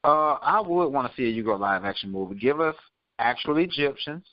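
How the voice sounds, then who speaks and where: A man's voice: mid-range voice, lively intonation, fairly quick, dry recording, muffled recording. A man hosting a podcast, talking casually with his co-hosts and listeners. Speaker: podcast host. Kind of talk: casual podcast chat and sign-off.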